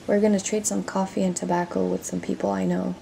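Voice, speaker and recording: A woman's voice: mid-range voice, little pitch movement, quick pace, gravelly, warm tone, slight background noise. A woman acting a guarded, commanding pirate captain in a dramatic audio roleplay, with a curt, bossy manner. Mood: weary, guarded.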